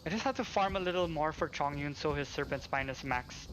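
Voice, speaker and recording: Higher-voiced man rambling on a game stream. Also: a little fast, noisy background. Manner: rambling, thinking aloud. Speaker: game livestreamer. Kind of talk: casual livestream chat while gaming. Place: at home. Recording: game music playing behind. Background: video game music.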